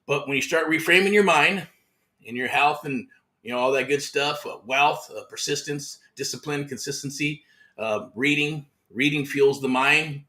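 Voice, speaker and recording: A man's voice: fairly high pitch, normal speed, sharp tone. A man hosting a motivational livestream about real estate, upbeat and repetitive, full of pep-talk slang, talking outdoors. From Southern California. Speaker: real estate mentor host. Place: outdoors in the heat.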